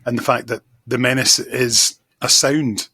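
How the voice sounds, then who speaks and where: A man's voice: medium pitch, steady tone, measured pace, bright, forceful tone, slight background noise. A man chatting effusively as an enthusiastic podcast guest in a casual fan discussion. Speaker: podcast guest, magazine editor.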